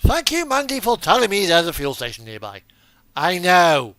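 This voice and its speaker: A man's voice: sits high, wildly swooping pitch, moderate pace, sharp tone, no echo. A man giving casual gaming commentary as he plays a driving simulator, talking to himself and the game, sometimes swearing. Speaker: streamer playing a truck driving simulator.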